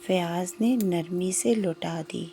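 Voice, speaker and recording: A woman narrating a scripted moral story in short, measured phrases with pauses. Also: fairly low pitch, creaky, mellow, slight background noise.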